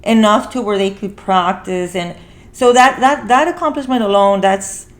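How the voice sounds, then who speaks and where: A woman's voice: mid-range voice, normal speed. Earnest woman hosting a casual talk. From Mexican heritage. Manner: earnest, repeats phrases for emphasis. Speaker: talk show host. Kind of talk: casual movie review discussion.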